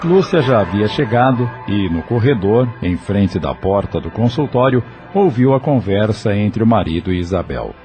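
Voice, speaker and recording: A man's voice: fairly low pitch, sing-song, moderate pace, few pauses, warm tone, faint music behind. A man narrating an audio novel in a dramatic, scripted storytelling style.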